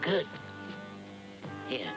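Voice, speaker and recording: An actor playing a scheming, domineering wicked sorcerer in a children's storybook drama, with theatrical, commanding delivery. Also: male voice, drawn-out words. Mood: gloating. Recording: loud music behind the voice.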